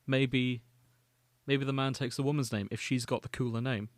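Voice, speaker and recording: A man co-hosts a casual fanfiction podcast, moving between chat and reading aloud. His voice sits at an ordinary male pitch. The sound is very dry, with no room around it. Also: steady tone, normal speed, no room sound. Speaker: podcast co-host.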